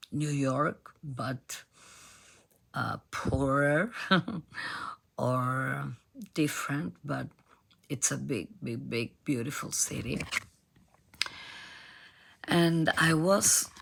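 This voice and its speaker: A woman in her forties from Argentina, with an Argentine Spanish accent, telling her own story in English in a strained voice that keeps breaking into whispers, halting and full of fillers. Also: sits low, gravelly, fairly bright tone, slightly rough. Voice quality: broken, strained voice dropping into whispers. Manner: halting, many fillers and restarts. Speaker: former singer. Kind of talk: personal storytelling to camera.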